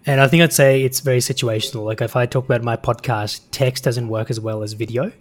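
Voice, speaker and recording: A man's voice: medium pitch, fairly even intonation, fairly quick, slight background noise. Male podcast host, mid-range voice. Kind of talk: conversational interview.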